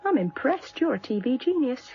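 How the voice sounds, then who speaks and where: A woman's voice: high voice, very animated, moderate pace, creaky, dark tone, faint music behind, full character voice. Cool, wry woman, muffled sound. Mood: teasing. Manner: wry, teasing, offhand. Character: cool, worldly, wryly unflappable woman witness. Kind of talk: naturalistic radio drama dialogue.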